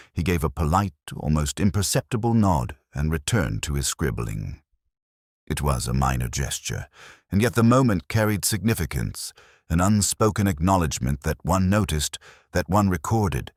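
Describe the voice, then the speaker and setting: A man narrating a scripted first-person detective story in a measured, literary, reflective manner. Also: sits low, no room sound, clean studio sound.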